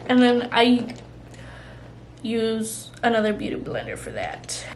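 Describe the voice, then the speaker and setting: A woman's voice: a little high, huge pitch swings, slow, slight background noise. A young woman vlogger chatting casually while doing her makeup, rambling with lots of "like" and "you know". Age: young adult. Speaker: beauty vlogger.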